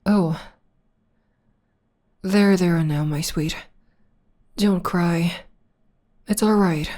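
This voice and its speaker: A woman playing a villainess in an ASMR roleplay, soothing and teasing as she tends to her nemesis with possessive tenderness and drawn-out endearments. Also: lowish voice, dark tone. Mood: tender, consoling. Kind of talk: ASMR roleplay, soft intimate acting.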